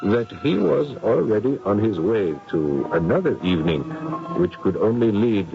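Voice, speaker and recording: An actor playing a skeptical, polite professor who narrates a 1970s radio ghost drama, formal, wry and deliberate. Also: male voice, on the low side, animated, vocal fry, music in the background. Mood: detached. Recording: muffled vintage radio drama recording.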